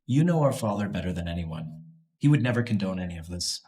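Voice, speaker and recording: A man's voice: deep voice, animated, quick pace, mellow. An actor playing a scheming young man who wants to inherit his father's company, smug and sneering. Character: scheming smug young male heir, the brother. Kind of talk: melodrama acting.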